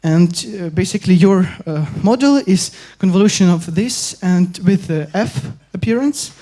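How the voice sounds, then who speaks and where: A young man from Ukraine giving an academic conference talk, halting with frequent pauses and restarts. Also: high-pitched, dark tone. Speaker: conference speaker.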